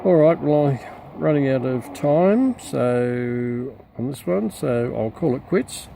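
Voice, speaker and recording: A man's voice: medium pitch, animated, slow pace, gravelly. A middle-aged man giving an informal, unscripted hands-on radio demonstration, hesitant and muttering as he works. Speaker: radio hobbyist reviewer. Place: outdoors in a backyard. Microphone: camera mic, behind the camera. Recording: loud radio static and background hiss. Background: shortwave radio noise.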